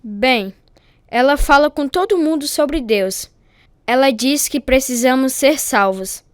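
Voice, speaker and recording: A woman's voice: sits high, takes it slowly, no room sound, studio quality. A woman playing an earnest boy, a dutiful son, in a radio drama. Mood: matter-of-fact.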